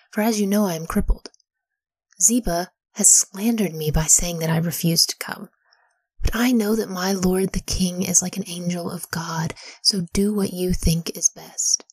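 A woman reading the plea of a humble lame man in a soft whisper. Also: fairly low pitch, steady tone, measured pace, husky, dry recording, rough recording. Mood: pleading.

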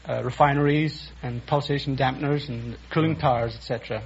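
Man, contestant, unscripted answers, middle pitch, noisy old broadcast. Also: steady tone, brisk. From Ireland. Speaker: quiz show contestant; instrument engineer. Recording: muffled noisy old radio, audience present.

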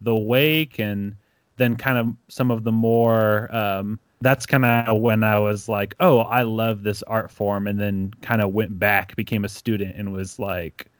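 A man's voice: on the low side, unhurried, no room sound, clean studio sound. A young man from Ecuador, a comic creator guest, telling stories casually and acting out quotes with lots of "like". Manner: animated storyteller, acts out quotes, many "like"s. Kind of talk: casual interview chat.

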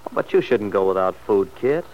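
Hesitant husband, muffled vintage radio soap. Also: male voice, lowish voice, animated, brisk. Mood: concerned, gentle. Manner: hesitant, awkward, solicitous. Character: earnest, apologetic married man, an army sergeant. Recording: dull, noisy old radio recording.

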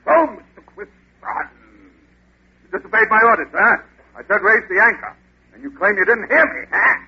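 An actor playing a blustering, cruel old sea captain in a 1940s radio comedy, barking orders heartily. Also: male voice, high-pitched, lively intonation, normal speed. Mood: gruff menace. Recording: muffled vintage radio recording.

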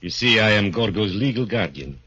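A man voicing a cruel, domineering strongman who speaks with cold, slow menace in an old radio drama. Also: fairly low pitch, lively intonation, sharp tone, breathy edge, heavily acted. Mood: menacing. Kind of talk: 1940s radio drama acting. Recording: dull old radio recording.

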